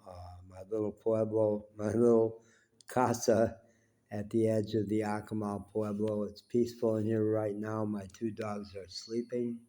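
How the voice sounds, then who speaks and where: A congested man in his seventies speaks in a low, mellow voice. He rambles unhurriedly, pausing often with fillers. He is recording at home in a quiet room. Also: little pitch movement. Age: 70s. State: congested with a cold. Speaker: vlogger. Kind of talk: reflective personal monologue. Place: small home indoors, quiet.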